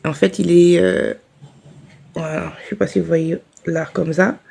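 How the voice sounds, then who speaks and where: A woman's voice: low voice, sing-song, unhurried, vocal fry, warm tone. Woman vlogging with a sore throat. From Réunion. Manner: rambling, many restarts and fillers. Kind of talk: casual vlog chat to camera.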